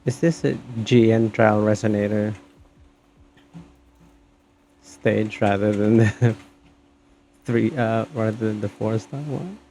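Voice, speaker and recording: Low, mellow, gravelly man commentating. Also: fairly even intonation, slow pace, pauses often, a little hiss or hum. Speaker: game streamer. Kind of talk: casual gameplay commentary.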